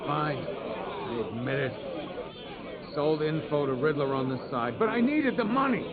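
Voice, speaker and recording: Jailed informant for a game: slippery, pleading then bitter, higher-pitched, animated, somewhat muffled with clamor behind. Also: male voice, unhurried, over a noisy crowd. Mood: desperate begging. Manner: ingratiating, protesting, turning bitter. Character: pleading, wheedling jailed criminal informant, adult man. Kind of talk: dramatic video game voice acting.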